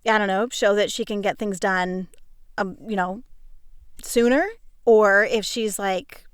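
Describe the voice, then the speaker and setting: A woman's voice: medium pitch, fairly even intonation, unhurried, clear, resonant tone, no echo, clean studio sound. A woman talking casually and analytically as part of a cast discussion. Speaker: cast member in a behind-the-scenes discussion.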